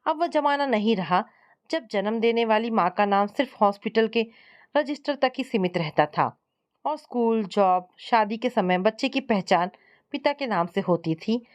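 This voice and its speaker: A woman's voice: a little high, animated, moderate pace, lightly acted. Female narrator as stern, principled older father, moralizing, irritated, family audio story. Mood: firm.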